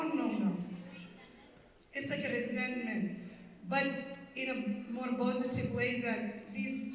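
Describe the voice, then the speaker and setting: A woman in her fifties, a comedian and storyteller, speaking live on stage. She talks with emotional, joking, broken English phrasing. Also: a little high. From Canada. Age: 50s. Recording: echoey hall, far from mic.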